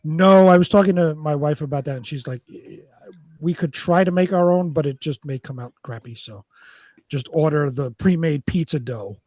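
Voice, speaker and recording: A man's voice: on the high side, moderate pace, a little mellow, no room sound. A man co-hosting a show in casual, conversational chat. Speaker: co-host.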